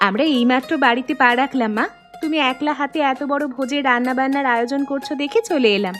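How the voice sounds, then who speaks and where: High-pitched, caring young wife for a family cartoon, acted by a woman; deliberate storybook delivery, music underneath. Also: a bit bright. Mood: eager, affectionate. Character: dutiful young married daughters, cheerful and caring. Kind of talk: Bengali animated family story dialogue.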